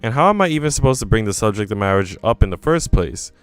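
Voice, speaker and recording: Guy reading a visual novel out loud on stream, smooth lowish voice, faint music under him. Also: animated, fast. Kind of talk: live read-aloud of visual novel narration with casual asides.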